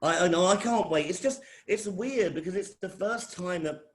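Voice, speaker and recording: A man's voice: sits high, normal speed. A middle-aged man with an English accent giving an informal welcome talk as a college lecturer, often repeating phrases and restarting his sentences. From England.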